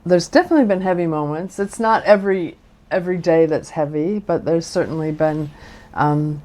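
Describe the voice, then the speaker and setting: Midwest american woman, 40s, kinda low voice, earnest and thoughtful, chaplain chatting in an interview. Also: moderate pace, a little mellow. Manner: earnest, thoughtful, with frequent restarts. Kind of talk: reflective interview conversation.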